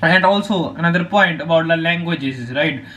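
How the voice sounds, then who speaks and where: A man's voice: on the high side, normal speed, pushed and bright. A teenage boy from Punjab with an Indian accent, a native Hindi speaker, talking casually to camera with lots of fillers and restarts. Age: teens. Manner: rambling with many fillers and restarts. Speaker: vlogger.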